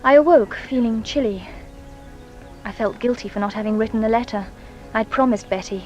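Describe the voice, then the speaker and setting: A woman's voice: fairly high pitch, normal speed, darkish tone, lo-fi sound, soft background music, close to an everyday voice. An actress playing an anxious, guilt-ridden young Englishwoman giving testimony in a radio drama, with a hesitant, dramatic manner. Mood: uneasy recollection.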